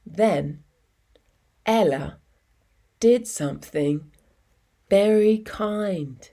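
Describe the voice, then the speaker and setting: A woman reading a children's story expressively, with measured phrasing and dramatic pauses. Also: medium pitch, expressive, mellow.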